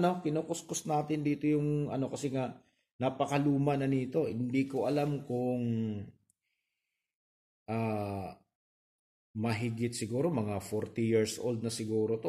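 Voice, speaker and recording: A man's voice: medium pitch, dark tone. A man with a Filipino accent hosting a repair video, explaining things step by step in a casual, hands-on way and often checking that viewers follow. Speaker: repair channel host.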